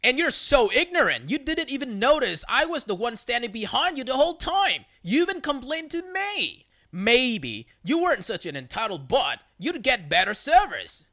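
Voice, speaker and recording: Male narrator as fed-up female customer in a story: high, sharp, scolding, emphatic. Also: wildly swooping pitch, a little slow, hardly any pauses, full character voice. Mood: livid, scolding. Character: indignant female customer telling off a rude man. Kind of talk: acted quote in a narrated story.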